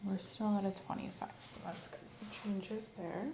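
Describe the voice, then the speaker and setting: Woman, soft-spoken ASMR examiner who drifts into whispers; roomy recording with faint hiss. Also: mid-range voice, steady tone, normal speed. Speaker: examiner in a roleplay. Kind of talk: ASMR medical exam roleplay.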